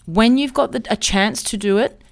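A woman's voice: on the high side, normal speed, gravelly. A woman in her thirties hosting her own podcast, talking candidly and chattily about her life in a solo monologue. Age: 30s. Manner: candid, chatty, self-reflective. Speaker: podcast host.

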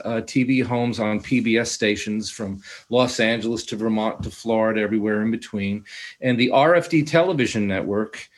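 A man, a musician guest on a casual talk show, talking about his radio show in a rambling, proud way over a video call. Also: medium pitch. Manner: rambling, proud, self-deprecating.

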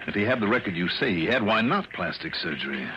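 Sharp radio sleuth: deep, probing, confident delivery, old-time drama, muffled sound with clear hiss. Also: male voice, sing-song, quick pace. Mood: probing, businesslike. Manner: probing, self-assured, clipped. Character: sharp, dogged male insurance investigator narrating his case. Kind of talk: 1960s radio drama acting and narration.

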